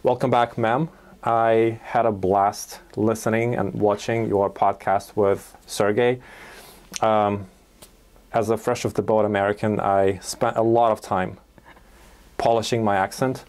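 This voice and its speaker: Lowish-voiced man. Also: level tone, a little slow, a little mellow. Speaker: podcast host. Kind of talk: casual interview chat. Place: small indoor podcast studio.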